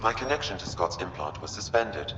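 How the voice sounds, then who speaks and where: An actor playing a calm, courteous artificial intelligence in a sci-fi game, speaking in a measured, detached, formal way. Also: male voice. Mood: calm, clinical. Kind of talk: sci-fi video game acting. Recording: echoey, reverberant, muffled, over humming rumble.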